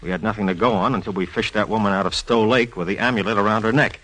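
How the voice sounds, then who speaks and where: A man's voice: fairly low pitch, steady tone, fast, fairly bright tone, poor audio. An actor playing an exasperated, teasing male police lieutenant with slangy, sardonic talk in an old radio drama. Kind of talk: 1940s radio drama acting. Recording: vintage radio recording.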